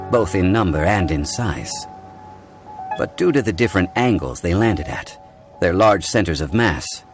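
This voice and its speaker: An actor voicing a calm, learned gentleman in a video game, speaking in a measured, formal, explanatory way. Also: sits low.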